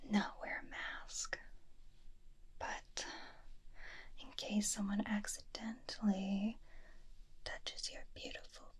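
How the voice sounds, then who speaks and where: A young woman performing an ASMR roleplay as an affectionate, teasing superhero wife, speaking softly and intimately close to the microphone. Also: medium pitch, slightly rough. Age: young adult. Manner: soft, tender, slow and intimate, teasing. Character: affectionate, playfully bossy superhero witch doting on her husband. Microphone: close binaural ASMR microphone.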